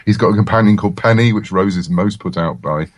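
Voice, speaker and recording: A man with a low-pitched voice talks in a casual, enthusiastic way. He is an interview guest, chatting freely in a very dry recording. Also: quick pace, dry recording. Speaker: interview guest, comic writer.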